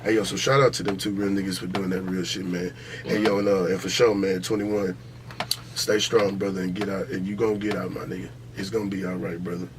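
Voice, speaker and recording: A man's voice: low voice, level tone, a little fast. Emphatic American man, casual podcast talk, noisy. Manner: emphatic, repeats phrases, heavy slang and profanity. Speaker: podcast host.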